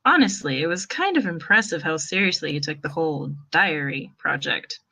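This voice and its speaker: Woman reading fanfic aloud as narrator, bright forceful voice, slightly low. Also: animated, moderate pace, vocal fry. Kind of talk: expressive fan fiction story narration.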